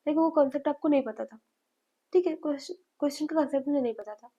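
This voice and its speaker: A young woman, a medical student giving study advice to viewers, a little hoarse from a cold and hesitant with many fillers. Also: high-pitched, rapid-fire, dark tone, muffled recording. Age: young adult.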